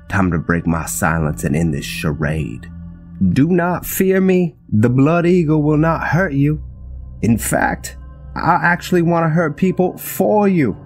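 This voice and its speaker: A man's voice: fairly low pitch, a little mellow, close to an everyday voice. A man playing an arrogant, flippant vampire assassin in a game roleplay, with a cocky, theatrical way of talking. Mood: mock reassuring. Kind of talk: scripted game roleplay dialogue.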